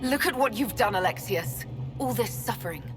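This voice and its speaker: A woman's voice: on the high side, lively intonation, moderate pace, over music, close to an everyday voice. A woman playing an earnest, compassionate hero in a fantasy video game, speaking directly. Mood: accusing. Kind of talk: dramatic video game acting.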